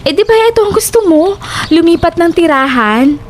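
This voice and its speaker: A woman playing a bold, teasing young friend in a horror audio drama, brash and excitable. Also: extremely high pitch, fairly quick, a little hiss or hum. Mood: excited, impatient.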